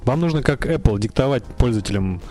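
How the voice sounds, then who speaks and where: A man's voice: medium pitch, expressive, quick pace, warm tone, soft background music. A man chatting casually as a podcast panelist, chipping in with remarks.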